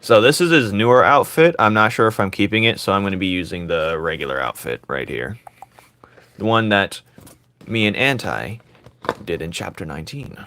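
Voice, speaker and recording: A man with a raspy voice streaming his drawing, thinking aloud in casual chat and joking with viewers. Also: on the low side, animated, normal speed, a bit bright.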